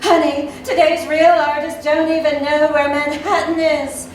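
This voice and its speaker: A woman's voice: sits high, little pitch movement, unhurried, pitched above the performer's own voice. A distant, echoey stage recording has a woman putting on a catty female artist rival. She gloats in a raised, condescending voice, full of smug comic theatre. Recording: roomy echoing venue, distant mic, audience noise.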